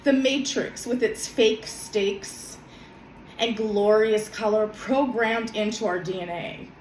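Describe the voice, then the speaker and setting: A woman, a little hoarse from a cold, talking gently with many pauses and self-corrections, then reading her own journal. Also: medium pitch, echoey room, a little hiss or hum, poor audio. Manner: soft, hesitant, many ums and self-corrections. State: slightly hoarse from a summer cold. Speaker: vlogger.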